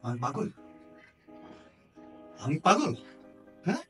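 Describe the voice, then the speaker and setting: Pushy, overfamiliar village guy, animated high voice. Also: slow pace, heavily acted. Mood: indignant. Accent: Bangladeshi. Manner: effusive, insistent, colloquial. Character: pushy, overfamiliar male village visitor posing as old friend. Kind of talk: naturalistic short-film drama acting. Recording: distant mic with background music.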